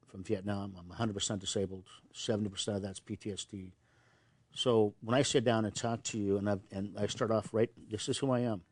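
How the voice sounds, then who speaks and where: A man's voice: lowish voice, darkish tone, no room sound. A man in his sixties from Montana, a veterans service officer answering interview questions earnestly, often repeating words as he starts a thought.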